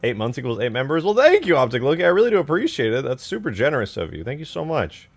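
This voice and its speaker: A man's voice: mid-range voice, wildly swooping pitch, fast, creaky. A man hosting a livestream, chatting casually and conversationally to his viewers. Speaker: livestream host.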